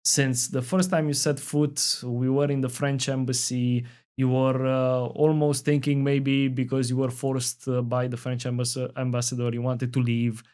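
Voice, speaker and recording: A man's voice: mid-range voice, flat delivery, moderate pace, a little mellow. A man from Romania, hosting an interview podcast and speaking fluently at length, with some fillers. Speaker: podcast host. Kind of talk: interview host.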